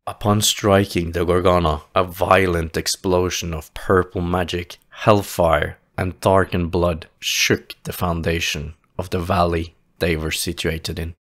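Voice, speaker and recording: A man narrating a fantasy audio play in a theatrical, solemn storytelling manner with deliberate, dramatic phrasing. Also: deep voice, little pitch movement, fairly bright tone.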